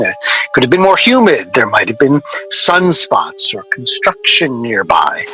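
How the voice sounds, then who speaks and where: An actor playing a wry sound recordist, telling his story in a measured, articulate, deadpan way for a radio drama. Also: mid-range voice, poor audio. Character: wry male sound recordist recalling an obsessive artist's failed project. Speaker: interviewee.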